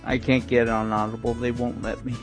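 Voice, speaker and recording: A man's voice: mid-range voice, little pitch movement, brisk, music in the background. A man co-hosting a radio show in casual, unscripted chat. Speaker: co-host.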